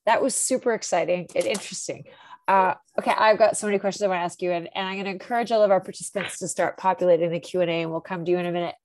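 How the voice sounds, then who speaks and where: A woman's voice: fairly low pitch, fairly even intonation, very fast, a little mellow, no room sound. A woman hosting a webinar who asks her guest questions with enthusiasm and curiosity. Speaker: webinar host, interviewer. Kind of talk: moderating a webinar Q&A.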